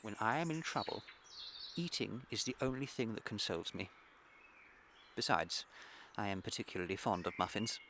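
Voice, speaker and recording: An actor playing a flippant, languid young English gentleman in a comic period radio play, with a pompous, unruffled manner. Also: fairly low pitch, moderate pace, pauses often. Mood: blithely unruffled. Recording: clear background ambient noise.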